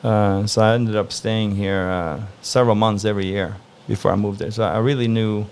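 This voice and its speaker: A man from Norway answering interview questions in a relaxed, thoughtful way, pausing often to find his words. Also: fairly low pitch, slight background noise. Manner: relaxed, thoughtful, frequent "uh" pauses. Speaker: interview guest.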